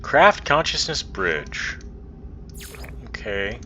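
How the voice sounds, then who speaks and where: A man's voice: very animated, drawn-out words, muffled recording. A man giving casual video game commentary, thinking aloud and mumbling to himself in a rambling, easily delighted way. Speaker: gaming channel host. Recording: very noisy, constant loud background rumble.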